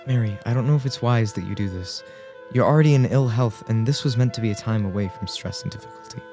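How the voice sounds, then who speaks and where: A man playing a gentle, worried, protective husband in a radio play, earnest and formal. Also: medium pitch, quick pace, soft background music, lightly acted. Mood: concerned.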